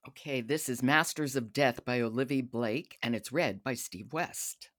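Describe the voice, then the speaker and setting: A woman's voice: very deep voice, normal speed, a bit bright. A woman hosting an interview show, reacting expressively to what she hears. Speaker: podcast host. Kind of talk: casual interview chat.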